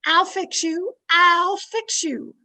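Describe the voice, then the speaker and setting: A woman acting a bossy society wife in an old-time radio play. Her voice is high and her delivery slow and theatrical. She scolds and commands with haughty indignation. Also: heavy background noise. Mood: outraged, commanding. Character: domineering, haughty society wife, easily outraged. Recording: amateur video-call recording.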